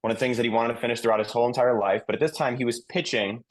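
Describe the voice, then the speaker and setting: A man's voice: mid-range voice, fairly even intonation, rapid-fire, poor audio. A man on a podcast panel giving conversational, explanatory commentary.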